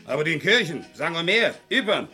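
Sharp-voiced, grumpy old war veteran. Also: male voice, fairly high pitch, big pitch swings, normal speed, faint music behind, heavily acted. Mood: indignant. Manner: gruff, indignant, boastful. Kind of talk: German radio crime drama acting.

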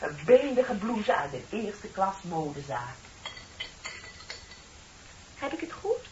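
Chatty thirtysomething secretary lady, super gushy and flattering, old radio drama, muffled and a bit noisy. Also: medium pitch, takes it slowly. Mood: admiring. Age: 30s. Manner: gushing, polite and effusive. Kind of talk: radio play acting.

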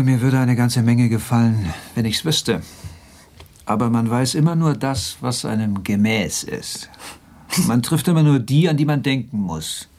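A man's voice: mid-range voice, a little mellow. An actor playing a world-weary, sardonic flight instructor in a naturalistic radio play, laconic, mocking and fatalistic in his talk. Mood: musing.

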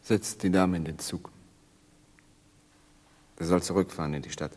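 An actor playing a stern, courteous officer in a radio play, speaking with deliberate, formal authority. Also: male voice, deep voice, steady tone, darkish tone, breathy edge. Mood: commanding. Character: commanding male officer, courteous, stern, brooding. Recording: long hall reverb.

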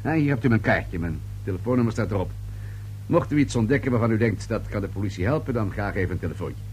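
An actor playing a courteous, shrewd police inspector in a radio play, with a polite, understated, probing manner. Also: male voice, fairly low pitch, lively intonation, fast talker, darkish tone, natural voice. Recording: noisy old radio drama recording.

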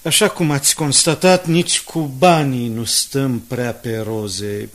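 A man's voice: medium pitch, fairly even intonation, natural voice. An actor playing a brash, confident schemer of a newspaperman in a radio drama, with an emphatic, persuasive delivery. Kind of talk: 1980s Romanian radio drama acting.

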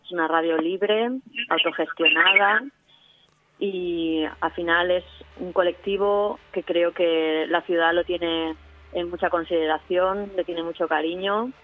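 Woman from Spanish radio, even-pitched, band-limited line. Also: a little slow, a little hiss or hum. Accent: Spain. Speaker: community radio member, interviewee. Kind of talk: casual interview talk. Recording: narrow-band remote line, music behind.

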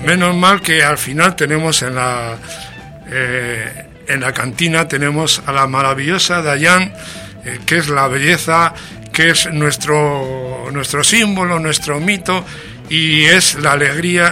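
Spanish guy from spain hosting a show, bright voice, jokey and theatrical, bit of music behind. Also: a little high, unhurried. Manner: jocular, theatrical, exclamatory. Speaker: radio show host. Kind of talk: chatty, playful show presentation.

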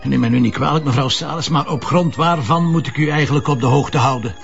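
An actor playing a blunt, wry, brooding police commissioner with a Flemish accent in a naturalistic radio drama. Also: male voice, medium pitch, fast talker, gravelly, recorded from a distance, highs cut off, faint music behind, natural voice. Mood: irritated.

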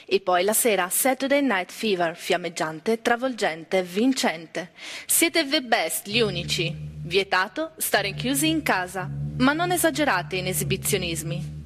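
A woman declaims in short, punchy phrases like a stage announcer. Her voice sits at a medium pitch and rings with long hall reverb. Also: expressive, measured pace, a bit bright.

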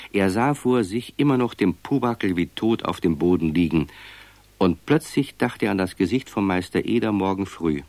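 Deep-voiced male storyteller, lively and close. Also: no room sound. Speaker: narrator. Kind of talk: scripted children's story narration.

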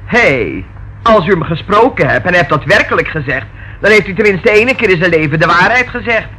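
A woman's voice: on the low side, animated, brisk, sharp tone, breathy edge, exaggerated voice. An actress playing a sardonic, unflustered and evasive hotel landlady in a radio play, sarcastically polite and defiant. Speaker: radio drama actor. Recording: noisy old radio drama recording.

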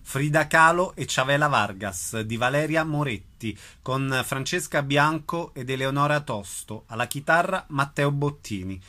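A man's voice: mid-range voice, measured pace, hardly any pauses, pushed and bright. A man working as a radio announcer, reading a scripted programme introduction.

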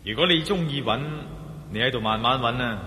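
An actor playing a shrewd, defiant adventurer hero in a Cantonese radio drama, speaking with dramatic scorn and a Hong Kong Cantonese accent. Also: male voice, lively intonation, a little fast, sharp tone. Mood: cool, shrewd. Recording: echoey hall, rumbling background noise.

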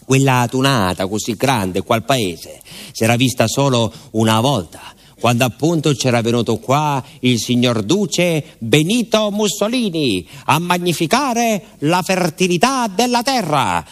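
A man's voice: medium pitch, expressive, measured pace, natural voice. An actor playing a garrulous village postman who recounts his youth in a southern Italian accent, with folksy asides, as a theatrical radio monologue. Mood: wry, chatty. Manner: colloquial, folksy asides and repeated interjections.

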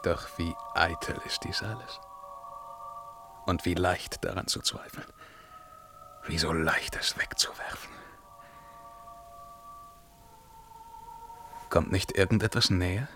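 An actor playing an imperious, self-tormenting emperor in a German radio play, declaiming verse that swings between command and hushed brooding. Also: male voice, deep voice, measured pace, long pauses, over music. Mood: brooding, anguished.

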